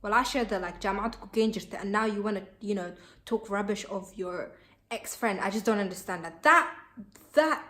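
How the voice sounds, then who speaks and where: A bubbly young woman with a lilt talks straight to her camera, chatty and full of "like" and "um". Her is Somali, and she sounds relaxed and personal, as if speaking to her followers. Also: mid-range voice, brisk. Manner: bubbly and chatty, many fillers. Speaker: vlogger. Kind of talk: casual chat to camera.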